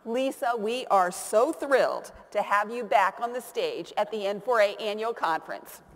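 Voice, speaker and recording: A woman hosting a conference, reading a scripted introduction of the next speaker in a warm, praising way. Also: medium pitch, normal speed, a little hiss or hum. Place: conference stage in a large hall. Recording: reverberant hall from a stage mic.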